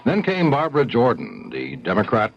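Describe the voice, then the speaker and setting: Deep-ish guy reading the news steady and even, old muffled radio tape. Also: vocal fry, breathy edge. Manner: measured, even newsreader delivery. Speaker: news anchor. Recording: dull lo-fi 1970s radio broadcast.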